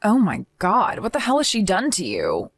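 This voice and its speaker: A protective young woman, as a woman voices her, steady and earnest, in a mid-pitched voice. She reassures and confronts in plain, direct tones. The sound is dry and close. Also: normal speed, dry recording. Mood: caring. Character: caring, protective young woman, sister-in-law.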